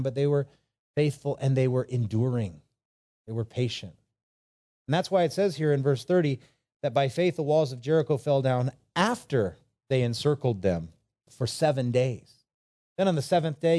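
A male preacher with a soft-edged, mellow voice at a middling pitch. He delivers his sermon in an informal, conversational way, slipping into imagined dialogue and leaning on rhetorical questions and repetition.

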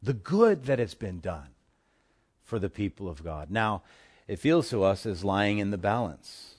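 A man preaching a sermon as pastor of his church, impassioned, swinging between hushed asides and forceful declarations. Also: on the low side, sing-song, unhurried. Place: church in Hawaii.

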